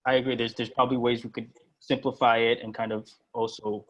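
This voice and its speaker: A man's voice: medium pitch, level tone, fairly quick, highs cut off. A man presenting and moderating an online workshop, speaking hesitantly with many fillers and restarts.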